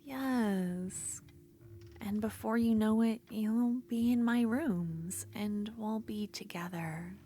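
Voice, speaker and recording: A woman playing an obsessive, possessive yandere duelist in an ASMR fantasy roleplay, speaking intimately and moving between soft speech and breathy whispers. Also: mid-range voice, slow, close to an everyday voice. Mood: coaxing, obsessive.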